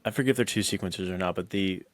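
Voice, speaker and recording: An American man with a deep voice, recorded close and dry. He chats like a podcast co-host, earnest and conversational, dropping casual slang as he discusses films. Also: fast, dry recording. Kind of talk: casual chat about action films.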